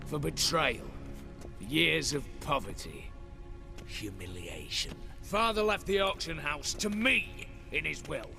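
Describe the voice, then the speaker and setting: An actor playing a bitter, vengeful heist mastermind in a video game, theatrical, haughty and commanding. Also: male voice, on the high side, very animated, slow, creaky, pushed and bright, full character voice. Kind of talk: dramatic video game cutscene acting. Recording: music behind the voice.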